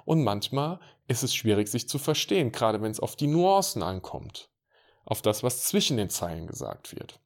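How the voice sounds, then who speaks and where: A man with an ordinary, mid-pitched voice talks in a relaxed, direct way, as if he were speaking to one listener. He chats about his work as a consultant in plain, informal language. His delivery stays conversational and unscripted. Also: moderate pace. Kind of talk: casual monologue to the listener.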